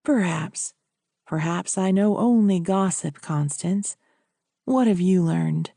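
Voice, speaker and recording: An earnest family doctor, a man as a woman narrator voices him, talks in a mellow, slow voice. He is gentle and solicitous, a caring old friend in a storybook reading. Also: lowish voice, creaky, dry recording, clean studio sound, heavily acted. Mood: solicitous, careful.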